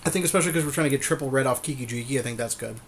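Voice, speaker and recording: A man's voice: mid-range voice, fairly bright tone, a little hiss or hum. A man co-hosting a podcast, chatting casually and agreeably with his partner while they build a card deck. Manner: easygoing, agreeable, quick back-and-forth. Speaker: podcast co-host. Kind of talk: casual deckbuilding chat.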